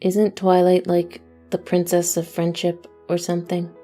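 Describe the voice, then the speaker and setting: A lowish, soft-edged cartoon pony lady, acted by a woman, utterly monotone. She is deadpan and dryly contrary, never sounding excited, with music underneath. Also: unhurried, close to an everyday voice. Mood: flatly agreeable. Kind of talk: fan audio drama, cartoon-style acting.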